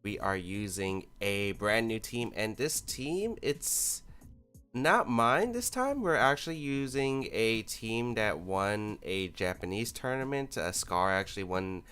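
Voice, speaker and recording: A man with a lowish, smooth voice runs casual commentary on his own video, weighing each move out loud. He hedges with filler words and sounds a little under the weather. Faint music plays underneath. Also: slow. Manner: thinks aloud, analytical, relaxed with fillers. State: a little sick. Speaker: YouTube competitive gaming host.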